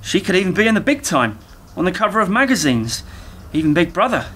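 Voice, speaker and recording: An actor playing an eager, naive younger techie in a radio play, rambling and over-explaining earnestly. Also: male voice, sits high, very animated, brisk, fairly bright tone, husky, exaggerated voice. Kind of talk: community radio play dialogue.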